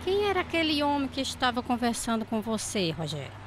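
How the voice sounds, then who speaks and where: Devout church counselor, female, Brazilian accent, fairly high voice, measured radio-drama delivery. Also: very animated, faint music behind. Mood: counseling. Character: devout church woman gently counseling a younger believer.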